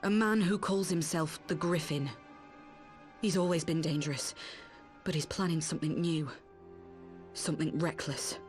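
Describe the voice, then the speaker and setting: A woman's voice: sits low, fairly even intonation, moderate pace, darkish tone, soft background music, close to an everyday voice. A woman playing a brave, wounded cat-woman resistance fighter in a video game, earnest and grave. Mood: weary, earnest. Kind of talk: video game cutscene acting.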